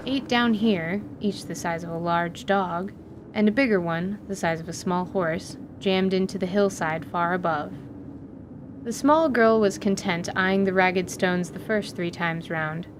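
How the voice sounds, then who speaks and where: A woman reading scripted story narration as the narrator of an audio drama. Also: measured pace. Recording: noisy recording with low rumble.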